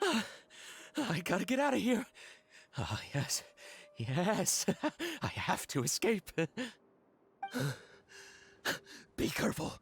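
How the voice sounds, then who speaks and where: A panicky, stammering villager, wildly swinging pitch. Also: male voice, huge pitch swings, slow pace, vocal fry, fairly bright tone, a little hiss or hum, exaggerated voice. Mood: distraught. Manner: stammering, frantic. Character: panicky, cowardly male villager, badly shaken. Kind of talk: video game voice acting.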